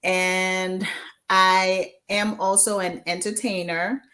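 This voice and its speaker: Slow-talking Haitian woman in her forties. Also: medium pitch, flat delivery. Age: 40s. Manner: warm, chatty, mixes English with Haitian Creole, many ums. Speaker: guest. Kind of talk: casual interview chat.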